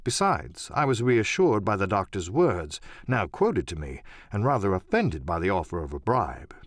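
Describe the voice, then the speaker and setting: Male narrator, scripted dramatic storytelling, audiobook, husky voice, dry close recording. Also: low-pitched, big pitch swings, normal speed, no echo.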